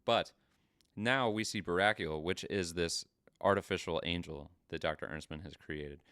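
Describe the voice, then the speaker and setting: A man with a very low, clear voice hosts a podcast. He recaps stories casually and with swearing, often halting as he explains. The sound is close and dry. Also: a bit bright, no room sound. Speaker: podcast host. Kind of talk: casual review and story recap.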